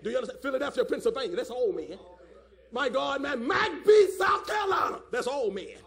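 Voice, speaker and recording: A man a fiery call-and-response sermon, emphatic and repetitive, often rising to a shout, with a gravelly voice. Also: very high-pitched, big pitch swings, brisk, some chatter behind. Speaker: preacher.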